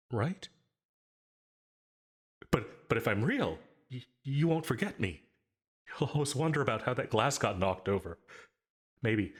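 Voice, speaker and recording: Man playing a halting, husky, lonely demon. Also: mid-range voice. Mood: anxious, vulnerable. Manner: halting, hesitant, stammering, tentative. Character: lonely, possessive, anxious male sleep paralysis demon longing to be real. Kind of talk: intimate ASMR audio roleplay.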